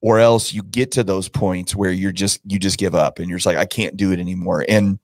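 A man's voice: sits low, fairly even intonation, fairly quick, no room sound, clean studio sound. A middle-aged American man hosting a podcast, talking casually and rambling as he thinks aloud. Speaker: podcast host. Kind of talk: casual conversational interviewing.

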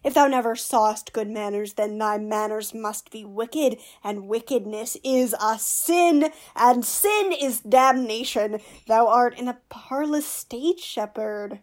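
A woman's voice: fairly high pitch, slow pace, hardly any pauses. A woman playing a witty, pompous male court fool who teases with mock logic in a Shakespearean radio play. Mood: mock-solemn, teasing.

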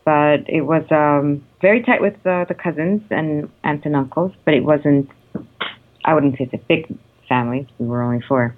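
A woman in her thirties from Canada, a guest actress answering interview questions in a reflective way with many pauses and ums. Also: low-pitched. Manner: warm, hesitant, lots of ums. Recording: tinny phone-like line.

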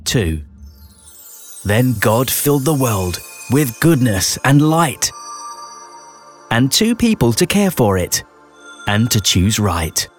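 A man narrating a rhyming children's bedtime story in a measured storytelling delivery with deliberate pauses. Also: medium pitch, big pitch swings. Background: festive music and sound effects.

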